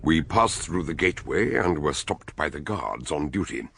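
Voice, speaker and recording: A man acting a courteous, inquisitive, learned English detective and storyteller in a radio drama, speaking deliberately and articulately. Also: a bass voice, very animated, creaky, fairly bright tone, slight background noise, heavily acted. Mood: measured, grave. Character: measured, inquisitive learned male sleuth and storyteller. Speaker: investigator and narrator. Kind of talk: radio drama dialogue and narration.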